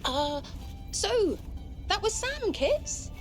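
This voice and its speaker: A woman playing a warm, supportive and slightly rueful scientist parent in a video game audio log, expressive and informal. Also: very high-pitched, very slow, soft background music, heavily acted. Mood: awkwardly bright.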